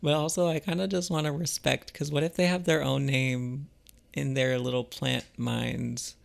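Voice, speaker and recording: A man chatting casually and reflectively to viewers as a vlogger, in a halting, musing way with trailing pauses. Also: mid-range voice, level tone, gravelly. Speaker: vlogger and artist.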